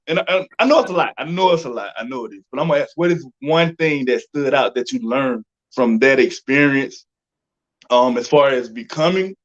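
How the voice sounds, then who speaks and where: A man's voice: mid-range voice, highs cut off. An American man hosting an interview in a casual, slangy way, often repeating his phrases. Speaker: interview host.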